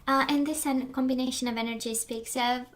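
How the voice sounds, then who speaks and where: A woman with a high-ish voice who is losing it, chatting through a tarot spread with lots of ums and the odd whisper. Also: steady tone, a little fast. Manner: rambling, many fillers, drops into whispers. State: losing her voice. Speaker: tarot reader. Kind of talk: casual spoken tarot reading.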